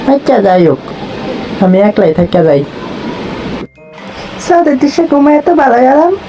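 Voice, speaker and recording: A woman's voice: high voice, very animated, unhurried, over music. A woman voicing a foolish, boastful young village man in a comic cartoon, with a Bangladeshi accent and a whiny, naive delivery. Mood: wistful. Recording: clearly noisy background.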